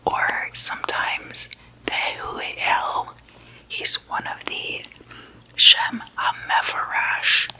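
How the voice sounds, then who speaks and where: A woman whispers in an ASMR style, breathy and unvoiced, with a crisp, very bright hiss to her words. She takes her time, pacing each phrase slowly and deliberately. Her delivery is casual and chatty, like sharing gossip at a sleepover. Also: poor audio. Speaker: ASMR host.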